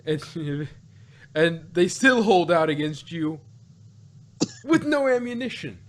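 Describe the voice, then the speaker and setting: A man reviewing in a casual podcast conversation, talking in a halting, animated, argumentative way and often repeating himself. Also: a little high. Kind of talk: casual review chat about an audio drama.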